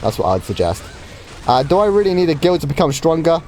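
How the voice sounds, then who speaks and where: Hoarse gamer chatting casually. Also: male voice, a little high, very animated, quick pace, darkish tone, slight background noise. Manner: chatty, easygoing, with frequent ums and light laughs. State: slightly hoarse from a starting cold. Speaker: game streamer. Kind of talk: casual live stream Q&A.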